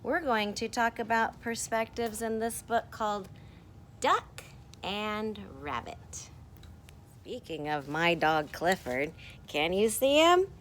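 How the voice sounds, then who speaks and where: A woman teacher reading a picture book aloud to children, animated and encouraging, with playful sound effects. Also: medium pitch, slow, a little hiss or hum.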